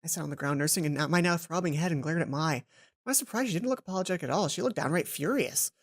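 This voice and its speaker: A woman's voice: deep voice, fast talker. A woman hosting a let's play, reading a visual novel aloud with casual asides, music behind her.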